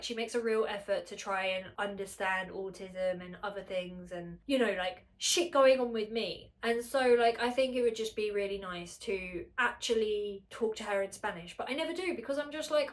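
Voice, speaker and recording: A woman with a slightly nasal, congested voice, chatting casually to camera at home, rambling, filling her talk with "like" and correcting herself. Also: mid-range voice. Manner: rambling, with many filler "like"s and self-corrections. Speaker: vlogger. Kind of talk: casual unscripted chat to camera.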